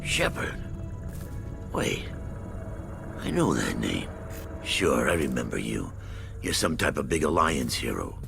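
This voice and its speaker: Gruff male colony mechanic for a sci-fi game: scornful, very low gravel, wildly swinging inflection. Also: huge pitch swings, takes it slowly, pauses often, heavily acted. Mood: bitter, scornful. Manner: scornful, blunt. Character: bitter, distrustful colony mechanic, gruff and fed up. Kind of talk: video game cutscene acting. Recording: dramatic music behind the voice. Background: loud dramatic game score.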